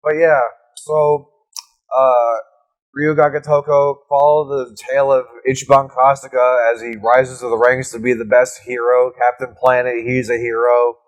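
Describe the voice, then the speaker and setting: A man's voice: mid-range voice, level tone, moderate pace, rough recording. A young adult man co-hosting a podcast in casual chat, crude and joking, with frequent swearing. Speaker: podcast co-host.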